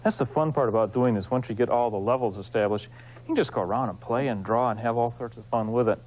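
A man's voice: medium pitch, a little mellow, poor audio. Man casually teaching a hands-on craft. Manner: unhurried, folksy, explaining while working. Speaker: woodcarving instructor, show host. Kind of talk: hands-on demonstration, informal teaching.

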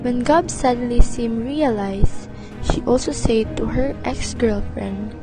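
A woman's voice: on the high side, slow pace, music in the background, poor audio. A woman announcing and narrating a scripted radio drama.

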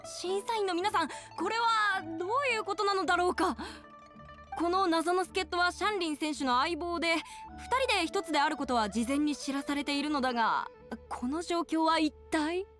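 A woman voicing a brisk, formal young contest announcer with theatrical commentary in a Japanese game. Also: extremely high pitch, a bit bright, soft background music. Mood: intrigued.

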